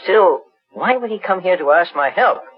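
An actor playing a courteous, composed master investigator in a 1940s radio drama, speaking deliberately and politely. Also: male voice, high-pitched, expressive, soft background music. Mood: curious. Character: kindly, measured, courteous male master investigator. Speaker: actor, lead in a detective radio serial. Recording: muffled 1940s radio recording.

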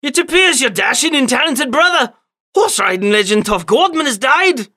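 A man voicing a boisterous, pompous British uncle in a high, strained voice, theatrical and full of jolly exclamation. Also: animated, normal speed, bright, forceful tone, full character voice. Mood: grave, theatrical. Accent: put-on British.

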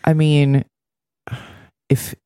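Dry woman with a mellow, close voice. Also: low voice, flat delivery, drawn-out words, smooth, no room sound. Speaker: podcast co-host. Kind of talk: casual TV recap chat.

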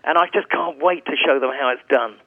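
A man's voice: fairly high pitch, fairly quick, no room sound. A man in his seventies, a singer chatting as an interview guest, rambling through anecdotes with restarts. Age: 70s. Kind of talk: casual interview chat. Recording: phone-line audio.

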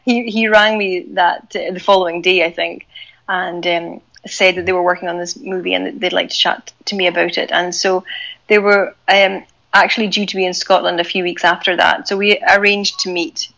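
A woman with a low voice speaks haltingly as an interview guest. She tells a personal story with a flat, gravelly delivery, often stopping and restarting her sentences. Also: rough recording.

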